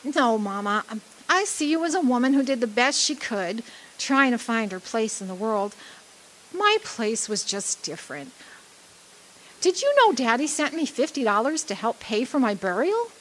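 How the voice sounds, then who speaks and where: A woman playing a loud, brassy, flashy dead woman, wry, defiant and flirtatious, in a radio drama. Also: on the high side, big pitch swings, moderate pace, a little hiss or hum, poor audio. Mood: earnest.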